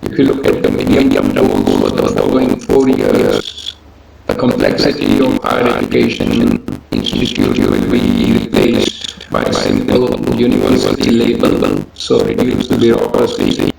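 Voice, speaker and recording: Gravelly man lecturing in an echoey room. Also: mid-range voice, lively intonation. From Gujarat, India. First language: Gujarati. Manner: measured, listing points one by one. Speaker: lecturer on education policy. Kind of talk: presentation lecture. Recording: echoey room with loud background hiss.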